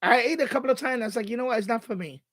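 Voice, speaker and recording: High-pitched New man. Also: rapid-fire, a bit bright, no room sound, studio quality. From Bronx, New York City, USA. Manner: conversational, repeats himself, thinks aloud. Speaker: live stream host. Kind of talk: casual rambling live-stream chat.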